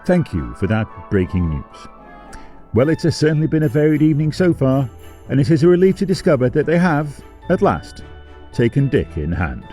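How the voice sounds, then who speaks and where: A man acts an arch television host from a pantomime world, his mellow voice swooping in pitch. He makes formal continuity announcements with a tongue-in-cheek air, over faint music. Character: smooth fairytale TV channel presenter, arch and tongue-in-cheek. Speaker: presenter.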